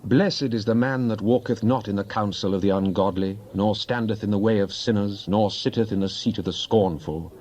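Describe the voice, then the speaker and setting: A man's voice: fairly low pitch, moderate pace, few pauses, darkish tone. A man giving a solemn, declamatory dramatic recitation of psalms as a scripture reader. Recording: dull lo-fi, faint background noise.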